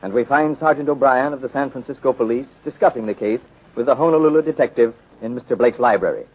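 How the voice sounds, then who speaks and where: A man's voice: medium pitch, quick pace, breathy edge. A man announcing and narrating a 1930s radio mystery serial in a formal, dramatic scripted style. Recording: muffled, tinny 1930s radio recording.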